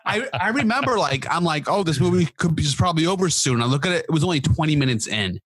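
A man's voice: fairly high pitch, animated, fast talker, a bit bright, slight background noise. A man in his thirties co-hosting a podcast with casual, opinionated chat on a close microphone. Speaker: podcast co-host. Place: indoor home room, remote video call.

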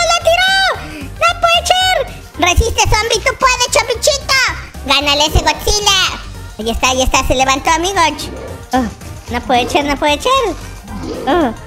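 Squeaky, excitable baby noob boy. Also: heavily acted. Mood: thrilled, frantic. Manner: exclamatory, dramatic, repetitive. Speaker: YouTube gamer playing a character. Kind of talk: excited children's Minecraft roleplay commentary.

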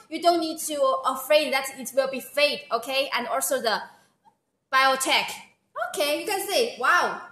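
A high, bright-voiced woman with a Chinese accent pitches products on a live stream, leaning on okay and wow between points. Her voice rings through a very echoey room. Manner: eager, repeats "okay" and "wow", non-native phrasing. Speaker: sales presenter.

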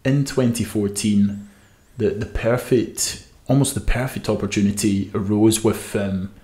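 A man's voice: fairly low pitch, steady tone, measured pace, dark tone, echoey room. A young man with a Scottish accent from the west coast of Scotland, telling his story as a podcast guest, with frequent restarts. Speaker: guest swimmer. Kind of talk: podcast interview. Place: small room with podcast setup. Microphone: desk mic on stand.

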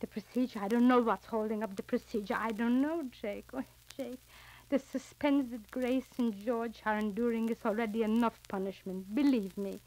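A woman's voice: fairly high pitch, steady tone, moderate pace, darkish tone, lightly acted. An actress playing an anxious, earnest middle-aged mother in a 1940s radio serial, hesitating and repeating her phrases. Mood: nervous. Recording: crackly 1940s radio transcription disc.

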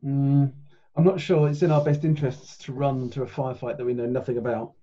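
A man with a mellow, soft-edged voice discusses the next move in a tabletop game. His pitch is average and his style casual. The call audio is a little dull. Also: little pitch movement, a little fast. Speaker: tabletop role-playing game player. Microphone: group video call.

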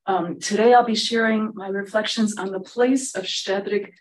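A woman's voice: medium pitch, fairly even intonation, distant mic, poor audio. Woman lecturing from across a room. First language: Ukrainian. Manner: measured, precise lecturing. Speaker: conductor and panel presenter. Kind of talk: prepared academic talk. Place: seminar room with a panel at a table.

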